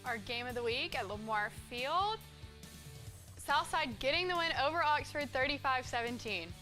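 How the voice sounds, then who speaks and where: A woman reads football scores as a sports co-host. Her voice is clearly high and bright, delivered in a scripted broadcast style over faint music. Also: lively intonation, normal speed.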